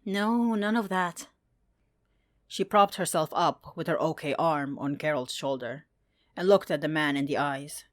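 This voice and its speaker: A woman with a fairly low voice narrates a piece of fantasy fiction. Her delivery is measured and dramatic, clearly read from a script. The sound is dry, without room echo. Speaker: fan fiction podfic narrator.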